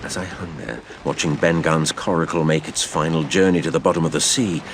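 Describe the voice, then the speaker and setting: A very low-voiced man narrates an adventure tale with a heavy creak. His theatrical, measured delivery moves widely in pitch, over clearly audible background noise. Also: fairly even intonation. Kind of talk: dramatised storybook narration.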